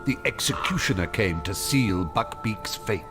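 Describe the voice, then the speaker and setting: An actor narrating a fantasy video game story in a scripted, dramatic style. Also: male voice, on the low side, animated, measured pace. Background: music.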